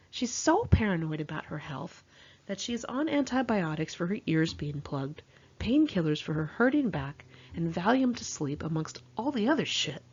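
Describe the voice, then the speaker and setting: Female memoir narrator, lower-pitched and husky, candid and wry, on a slightly muffled recording. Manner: candid, wry, dramatically expressive. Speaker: author reading own memoir. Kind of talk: memoir audiobook narration, confessional and conversational.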